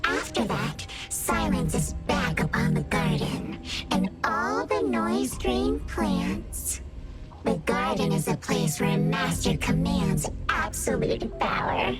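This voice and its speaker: An eerie female storyteller from a video game, theatrical and chant-like. She speaks slowly and dramatically, with pitch that swings enormously, over loud music. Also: big pitch swings, heavily acted. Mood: ominous, hushed. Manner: slow, dramatic, chant-like delivery. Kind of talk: scripted game narration.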